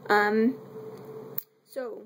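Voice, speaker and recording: A woman's voice: mid-range voice, fairly even intonation, extremely slow, creaky, a little hiss or hum, poor audio. A woman giving a casual, unscripted book review in a candid, irreverent way with wry asides and mild swearing.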